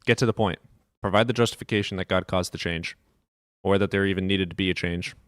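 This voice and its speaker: A man's voice: low voice, fairly even intonation, fast, clean tone, dry recording, studio quality. Blunt, impatient male debate host. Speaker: live stream debate host. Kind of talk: combative debate.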